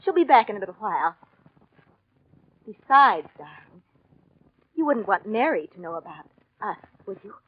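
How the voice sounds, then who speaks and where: A woman's voice: medium pitch, sing-song, moderate pace, long pauses, slightly rough, no echo. An actress playing a scheming, possessive mistress in a 1940s radio drama, coaxing and silkily controlled as she presses her will. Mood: cool menace. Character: scheming, possessive mistress, coolly cruel wife. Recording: dull vintage 1940s radio recording.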